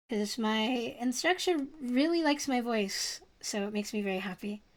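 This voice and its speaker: A woman's voice: a little high, a little slow. A young woman streamer chatting casually with her viewers and giggling often. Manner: giggly, chatty, reads chat aloud.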